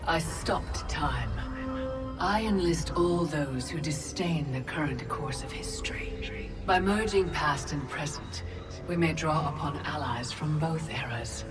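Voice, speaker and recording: Ancient goddess, deep female voice, imperious, calculating, game cutscene, loud music. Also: lively intonation. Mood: cold, commanding. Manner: measured, imperious. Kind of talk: video game cutscene acting. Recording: loud cinematic music behind.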